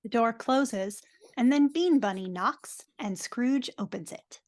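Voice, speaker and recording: A woman's voice: a little high, takes it slowly, a little mellow. A woman reading scripted narration as narrator of a live dramatic reading. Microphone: video call.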